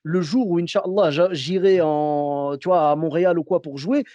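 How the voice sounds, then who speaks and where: A man's voice: a little high, steady tone, normal speed, mellow, no room sound, muffled recording. A man hosting a casual podcast interview, hesitant and searching for words as he talks. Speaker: podcast host.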